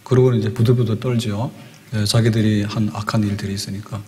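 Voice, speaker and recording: A man's voice: lowish voice, steady tone, fast talker, mellow. A man in his sixties preaching a sermon as a pastor, explaining like a storyteller and putting rhetorical questions to his listeners. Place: church hall, indoors at a pulpit. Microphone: podium microphone. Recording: long hall reverb, church sound system.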